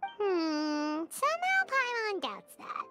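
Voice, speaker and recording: A woman voicing a tiny childlike girl companion in a video game, squeaky, blunt and excitable. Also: slow pace, heavily acted. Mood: doubtful. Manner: squeaky, blunt, refers to self in third person. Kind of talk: video game cartoon-like acting. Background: game music.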